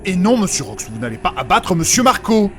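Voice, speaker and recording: A man plays a scrupulous, easily scandalised adventurer in a comic fantasy audio drama. His voice is fairly high and very animated, with formal, scolding phrasing. Music plays clearly behind him. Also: brisk.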